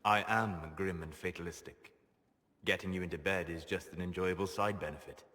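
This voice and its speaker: An actor playing a grave, gentle elven mage in a video game, speaking softly in measured, formal, solemn phrases with a melancholy wisdom. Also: male voice, low voice, steady tone. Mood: somber. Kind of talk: dramatic video game acting.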